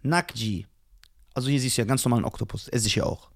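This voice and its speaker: A man's voice: medium pitch, quick pace. A man from Iran co-hosting a casual podcast chat, reading entries from a list aloud with mock drama. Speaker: podcast co-host.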